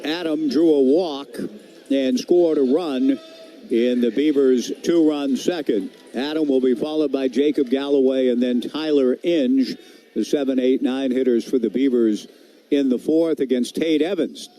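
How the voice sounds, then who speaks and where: An American man calling baseball play-by-play on the radio in a measured, articulate, descriptive way. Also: medium pitch, warm tone. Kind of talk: sports play-by-play commentary.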